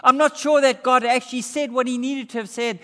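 A man's voice: very high-pitched, steady tone, brisk. Emphatic preacher, older man. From Australia. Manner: emphatic, wide swings between raised and hushed delivery; gestures broadly while preaching. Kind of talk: sermon. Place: church hall with brick walls. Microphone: far camera. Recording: reverberant church hall.

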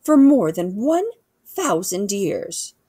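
A woman's voice: mid-range voice, sing-song, slow, dark tone, husky, no room sound, heavily acted. A woman voicing a haughty, pedantic older English queen in a storybook, with a pompous, formal way of talking. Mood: lecturing. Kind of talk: children's storybook character voice.

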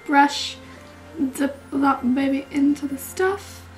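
Raspy-voiced young woman vlogging. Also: high voice, slow, faint music behind, low-quality recording. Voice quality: raspy, throat not clear. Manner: chatty, gushing, drops to whispers while doing makeup. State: recovering from illness, clearing throat. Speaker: beauty vlogger. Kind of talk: casual vlog chat. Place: small bedroom, indoors. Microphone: camera mic, close framing.

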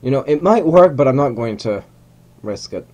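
A man's voice: medium pitch, expressive, moderate pace, dark tone. A young man whose first language is Hungarian, casually commentating a game rocket launch at home, rambling and correcting himself as he goes. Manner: rambling, self-correcting, chuckling asides. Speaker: gamer narrating a rocket launch. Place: small room at home.

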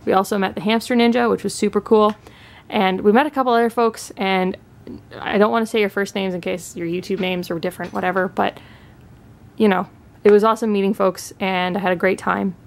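A young woman from Canada chats eagerly about her purchases, her words full of little fillers and restarts. Her mid-range voice has a gravelly fry to it. She sounds like she's recorded at home on a camera sitting on a table. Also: medium pitch, fairly even intonation, a little fast. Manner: chatty, enthusiastic, lots of fillers. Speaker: pet channel host. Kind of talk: casual haul chat. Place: indoors at home, living room.

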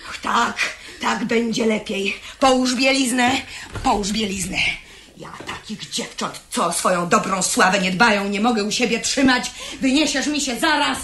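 A woman's voice: on the high side, moderate pace, a bit bright. An actress playing an imperious, scolding middle-aged bourgeois mother in a 1970s radio drama, melodramatic in her outrage. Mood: stern anger. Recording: echoey 1970s radio play, faint noise.

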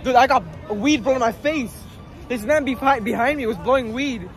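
A teenage boy vlogging from his phone at arm's length, outdoors at night after a soccer game, excitable and swearing, his voice going hoarse. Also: very high voice, normal speed, some chatter behind. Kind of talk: casual vlog chat. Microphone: phone held at arm's length.

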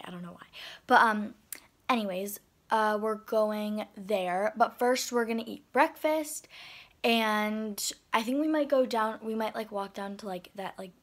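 A teenage girl talks to her phone camera at arm's length in a hotel room, her voice sitting a little high. She rambles in a loose, chatty way, full of "like" and "um" as she goes. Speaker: vlogger. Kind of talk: casual travel vlog chat. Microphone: phone camera held at arm's length.

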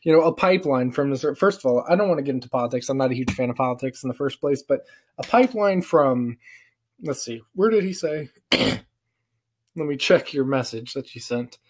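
A man's voice: medium pitch, lively intonation, fairly quick, a little mellow, breathy edge. Young American man, casual and slangy. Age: young adult. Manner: amused, slangy, chuckling through remarks. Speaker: prank-calling vlogger. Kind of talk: casual commentary. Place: small living room, indoors. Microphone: webcam at arm's length.

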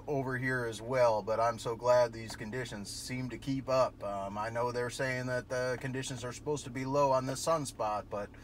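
A man's voice: medium pitch, a bit bright. Man chatting on radio, noisy phone recording. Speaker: CB radio operator. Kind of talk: casual radio contact chat. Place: vehicle parked at night. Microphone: cell phone held near the radio. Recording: cell phone video with clear background noise.

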